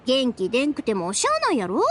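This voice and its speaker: Cheerful young game heroine, Kansai accent, high voice, quick, considerate, music behind. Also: female voice, sing-song, a little hiss or hum. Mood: friendly, caring. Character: cheerful, caring young woman, friendly and considerate. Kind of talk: Japanese video game voice acting.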